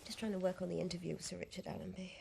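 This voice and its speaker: A woman playing a sharp-tongued, determined TV journalist in a 1990s British video drama. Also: on the low side, fairly even intonation, racing, creaky. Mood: exasperated. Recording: film soundtrack with faint background noise.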